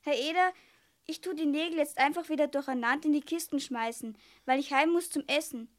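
A woman as very high-voiced apologetic Bavarian schoolgirl. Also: brisk, close to an everyday voice. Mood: sorry, hurried. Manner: earnest, apologetic. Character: polite, apologetic schoolgirl, eager and flustered. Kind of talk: 1970s German children's radio play acting.

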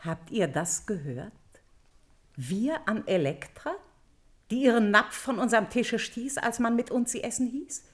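An actress playing a bossy, indignant palace servant in a classical radio tragedy, commanding and scornful. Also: female voice, fairly high pitch, animated, unhurried, husky.

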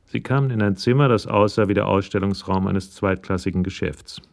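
A man's voice: lowish voice, brisk. A man reading scripted narration for a radio crime drama. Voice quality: gravelly.

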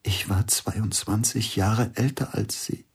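A bitter grieving pianist. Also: male voice, on the low side, fairly even intonation, slow, vocal fry, breathy edge, heavily acted. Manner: brooding, drops to hushed near-whispers. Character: grieving older male pianist, bitter, suspicious. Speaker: actor in radio drama. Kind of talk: radio play acting.

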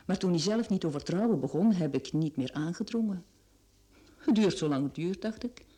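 A woman's voice: fairly low pitch, expressive, normal speed, mellow, lightly acted. An actress playing a faded, proud, plain-spoken woman in a radio play. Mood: resigned. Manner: resigned, frank.

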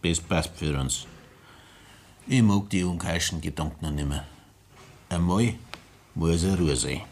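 A man's voice: deep voice, measured pace, darkish tone. An actor playing a hesitant, resigned man with a Bavarian accent in a 1960s radio play, folksy in manner.

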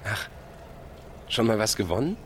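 A man's voice: lowish voice, very animated, normal speed, slight background noise. An actor playing a teasing, insistent village man of thirty in a radio drama, pushily friendly. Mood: casual, coaxing. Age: 30s.

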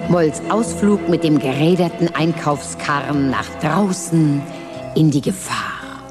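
A woman's voice: sits low, lively intonation, takes it slowly. An actress voicing a worldly, cynical mother figure who talks in a dry, wry drawl with whispered asides, over music. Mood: scornful. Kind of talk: radio play acting and first-person narration. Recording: music behind the voice.

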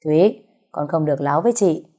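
A woman voicing a mild, timid middle-aged husband who chides softly and tries to placate in an audio story. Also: low-pitched, fast talker. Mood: gently chiding. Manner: soft scolding, conciliatory.

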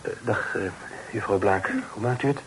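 An actor playing a courteous, slightly hesitant young gentleman in a Dutch period radio play, speaking in polite, formal phrases. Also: lowish voice, normal speed. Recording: noisy old radio play recording.

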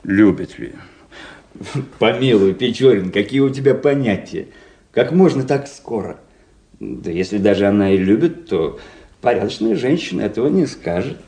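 An actor playing a vain, excitable young officer in a radio drama, theatrical, exuberant and pleased with himself, naively in love. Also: male voice, lowish voice, normal speed, creaky. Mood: smug, coy. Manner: theatrical, exuberant, self-satisfied. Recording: roomy, echoing radio play studio.

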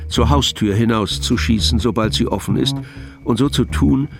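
A man narrating a scripted radio play in a measured, matter-of-fact manner. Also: mid-range voice, creaky, music in the background.

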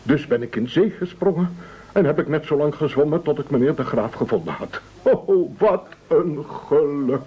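An aged palace servant in an absurdist radio drama. He is fawning and sentimental, his husky voice rising and falling widely over drawn-out exclamations. The old recording is dull. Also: a little high, lively intonation. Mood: overjoyed, devoted. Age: older. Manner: gushing, deferential, drawn-out exclamations. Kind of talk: absurdist radio play acting.

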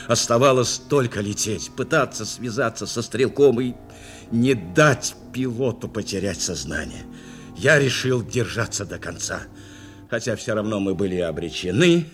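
An actor playing a steadfast, protective bomber navigator in a war radio drama, speaking with earnest insistence. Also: male voice, low voice, natural voice. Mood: grave, reflective. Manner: insistent, repeating short phrases. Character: steadfast male bomber navigator, urging and protective of his commander. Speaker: actor, also narrating in the first person.

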